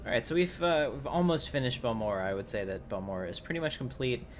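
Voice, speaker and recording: A man's voice: mid-range voice, expressive, quick pace. A man giving casual live commentary as he streams a video game. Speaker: game livestreamer. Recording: noisy livestream with game audio.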